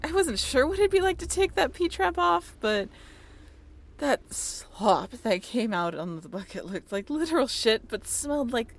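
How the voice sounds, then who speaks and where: A woman's voice: high-pitched, sing-song, unhurried, exaggerated voice. A woman playing a friendly, handy young sailing ship crew member in an intimate audio roleplay, talking in a casual, chatty way. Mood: amused, reminiscing.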